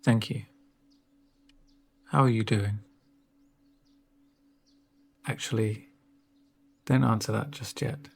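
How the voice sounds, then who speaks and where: A man speaking softly in an ASMR roleplay as a doctor, with a gentle, reassuring, unhurried manner and a breathy voice. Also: lowish voice, steady tone. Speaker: ASMR performer playing a doctor. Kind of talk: soft-spoken ASMR roleplay.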